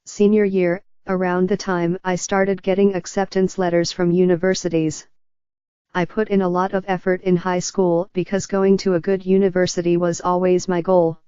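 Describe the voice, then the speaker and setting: A text-to-speech voice of a woman reading forum posts aloud in an even, unvaried machine delivery. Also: on the low side, smooth, dry recording, muffled recording. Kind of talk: synthetic narration of posts.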